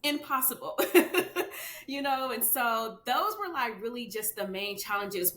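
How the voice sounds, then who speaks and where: A woman talking casually as a podcast guest, chatty, with many fillers and "you know what I mean" asides. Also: fairly bright tone. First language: English. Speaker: podcast guest, children's book author. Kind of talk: casual interview chat. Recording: echoey room with faint rumble.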